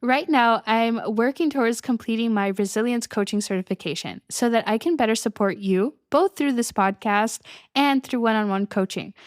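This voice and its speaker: Young woman, solo podcast host, casual and upbeat, slightly high voice, dry close-mic sound. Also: dry recording. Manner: casual, upbeat, laughs often between lines.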